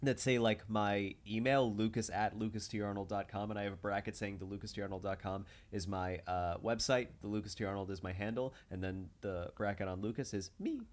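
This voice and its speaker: A man chatting casually as a podcast host, animated and quick to laugh. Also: lowish voice.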